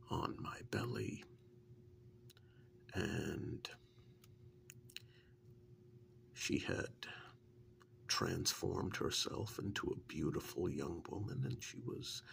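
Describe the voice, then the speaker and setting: Man acting as witch's servant, ASMR role-play, low pitch, slow unhurried delivery, breathy, gravelly, hesitant, earnest. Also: a bit bright, exaggerated voice. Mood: haunted, hushed. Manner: hesitant, unhurried first-person storytelling. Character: witch's male assistant recounting his rescue, earnest, wary. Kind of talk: soft-spoken ASMR role-play storytelling.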